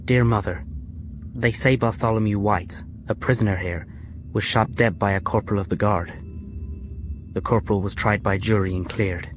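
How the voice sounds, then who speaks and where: An actor playing a worried young son in prison in a radio drama, speaking earnestly and somberly. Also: male voice, fairly low pitch, steady tone, normal speed, lightly acted. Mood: somber, reflective. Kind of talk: radio drama acting with letter narration. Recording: clear ambient noise behind the voice.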